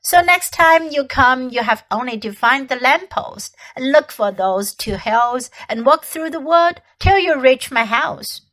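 A woman voicing a cold, scheming queen witch who coaxes in a smooth, conspiratorial way in an audiobook. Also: high voice, expressive, measured pace, hardly any pauses, a bit bright. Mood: coaxing. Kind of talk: audiobook character voice.

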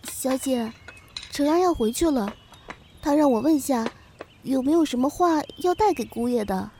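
A woman's voice: high-pitched, animated, normal speed, mellow, a little hiss or hum. A woman playing a young, loyal, outspoken maidservant in a Chinese period audio drama. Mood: dutiful.

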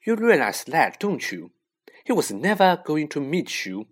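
Man doing a bossy anxious schoolgirl, storybook character, raised pitch, animated, scolding. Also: unhurried.

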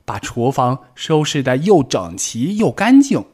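A man's voice: mid-range voice, lively intonation, moderate pace, pitched above the performer's own voice, close to an everyday voice. A man voicing a clumsy, hasty male rabbit in a storybook, chirpy and a little whiny. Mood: cheerful.

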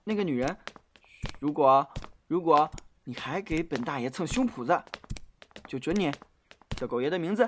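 A woman playing a cocky, childish male dog demon in an audio drama, haughty but quick to stammer. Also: sits low, expressive, slight background noise. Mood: flustered pride. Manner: haughty, stammers when flustered.

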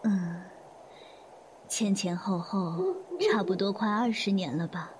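A gracious middle-aged hotel manager played by a woman in an audio drama. Her mid-pitched voice is soft, polite and a little weary, over faint background ambience. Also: takes it slowly, noisy background, lightly acted. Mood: reflective. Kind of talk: Chinese audio drama acting.

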